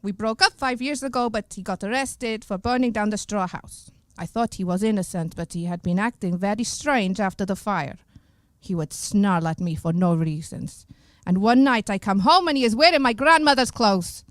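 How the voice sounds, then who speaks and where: A woman performs a mysterious, brooding fairy-tale witness for a comic radio play. She speaks ominously and melodramatically at a medium pitch, with a close, dry sound. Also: moderate pace, dry recording, close to an everyday voice. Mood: guarded, bitter.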